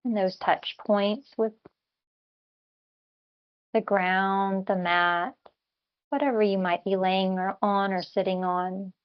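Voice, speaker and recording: A woman leading a guided body scan meditation as a teacher, speaking in gentle, unhurried instructions with long pauses. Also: mid-range voice, steady tone, highs cut off.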